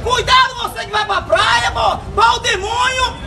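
Bahian street preacher guy, super high voice, fervent, shouting, phone recording full of crowd and traffic. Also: steady tone, moderate pace, gravelly. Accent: Bahian Brazilian Portuguese. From Bahia, Brazil. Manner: fervent, shouting bursts, repeats words. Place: city street and inside a bus. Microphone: handheld phone camera.

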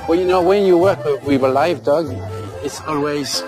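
A man in his sixties from Paris with a French accent, speaking halting, simple English as a talent-show performer. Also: on the high side. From Paris, France. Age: 60s. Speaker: ventriloquist talent-show contestant. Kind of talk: stage act patter and personal interview. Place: large theatre stage and busy backstage hall. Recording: loud music and audience noise behind.

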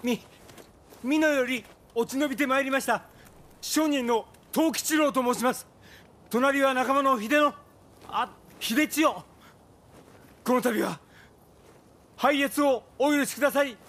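A man's voice: very high-pitched, a little slow, pauses often, fairly bright tone. A male actor playing an eager, humble, ingratiating merchant in a samurai game, overly polite and deferential. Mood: eager deference. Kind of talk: Japanese samurai game voice acting.

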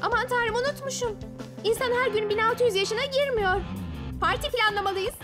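Very high-pitched teen monster girl. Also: a little fast, music in the background. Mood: eager. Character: earnest, eager teenage monster girl. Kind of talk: cartoon dub.

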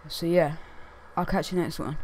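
Young guy with a croaky losing-it voice, kinda high, rambly with loads of "what's it called". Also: fairly even intonation, normal speed, a little mellow, slight background noise, rough recording. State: hoarse. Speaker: gaming YouTuber. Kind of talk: casual chat to camera.

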